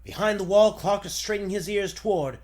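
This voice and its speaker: A man's voice: sits high, fairly quick, a little mellow. A man narrating dramatically in the style of a 1940s radio serial.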